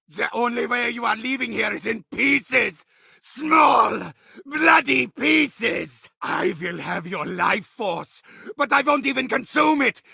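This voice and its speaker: A mad scientist villain, over a hundred years old, taunting and raging at the player in a video game. The voice is high-pitched and gravelly, with a sharp, strained edge. It is recorded dry and close in a studio. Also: male voice, no room sound, heavily acted. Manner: theatrical shouting tantrums and gloating taunts. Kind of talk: video game announcer voice lines.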